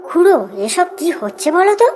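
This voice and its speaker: A woman's voice: very high voice, sing-song, fairly quick, music in the background. A woman voicing a worried, outspoken villager in a cartoon, with an anxious, colloquial way of talking.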